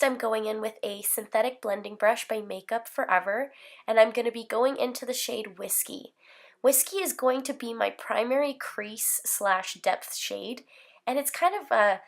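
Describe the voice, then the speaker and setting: A woman in her twenties with a slight cold, talking through a makeup tutorial in a casual, upbeat, rambling way. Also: on the high side. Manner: chatty, upbeat, rambling with fillers. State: hoarse from a cold. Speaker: beauty vlogger. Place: bedroom filming setup.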